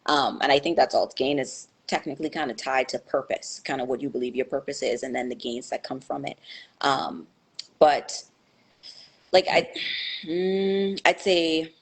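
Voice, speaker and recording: A woman's voice: extremely low pitch, moderate pace, creaky, breathy edge. A young woman answering interview questions in a thoughtful, hesitant way, with many fillers and restarts. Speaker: guest.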